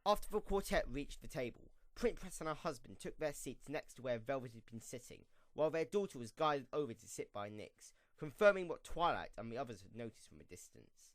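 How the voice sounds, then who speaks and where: A man's voice: medium pitch, sing-song, brisk, hardly any pauses, no room sound. A man reading scripted story narration for a fan audio drama.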